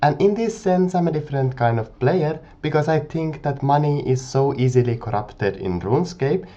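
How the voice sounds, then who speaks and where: Man with a cold, animated intonation, mellow tone, dull lo-fi mic. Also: on the high side, moderate pace. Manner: earnest, explanatory, animated rise and fall. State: has a cold. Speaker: gaming video creator. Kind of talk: casual commentary to camera.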